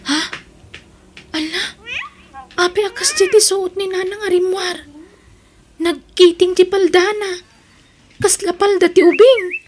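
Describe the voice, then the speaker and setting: An extremely high, childlike voice plays a worried, innocent Filipino youngster in a radio drama. It sounds plaintive, with clear noise in the recording. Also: female voice, takes it slowly, long pauses. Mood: curious, troubled. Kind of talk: Ilocano radio drama acting.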